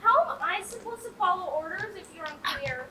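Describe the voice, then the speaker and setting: Teen girl as an animated, high-voiced exasperated complainer. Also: brisk. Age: teenager. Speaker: student actor. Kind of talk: amateur stage comedy acting. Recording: echoey, very noisy stage recording, distant.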